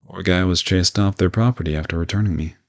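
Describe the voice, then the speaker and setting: A man playing a gentle, courteous old vampire in an intimate audio roleplay, reassuring and lightly teasing as he speaks to the listener. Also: low-pitched, steady tone, quick pace. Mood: wry, reminiscing. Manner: soft-spoken reassurance, politely formal with teasing asides.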